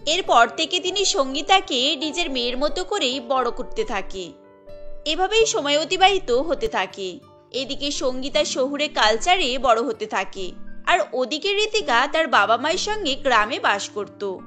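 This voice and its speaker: A woman storyteller reads a scripted cartoon tale. Her voice is very high and bright, with music playing underneath. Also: very animated, unhurried.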